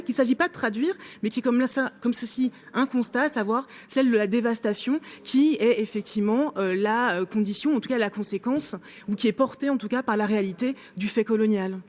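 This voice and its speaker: A woman's voice: on the high side, darkish tone. A woman making a long academic remark in a workshop discussion, hesitant with many fillers but building long argued sentences. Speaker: workshop participant.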